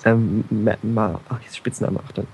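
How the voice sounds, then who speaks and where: A man's voice: fairly low pitch, little pitch movement, moderate pace, a little mellow, breathy edge. A man co-hosting a game podcast, talking casually in a hesitant way with many restarts. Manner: hesitant, full of fillers and restarts. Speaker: podcast co-host.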